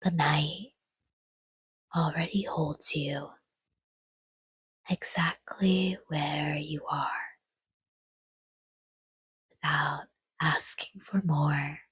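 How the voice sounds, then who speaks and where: A woman softly guiding a sleep meditation in a hushed, breathy whisper, with gentle, soothing delivery in short phrases and long pauses. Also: low-pitched, level tone, no room sound. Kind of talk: guided sleep meditation, scripted, soft-spoken.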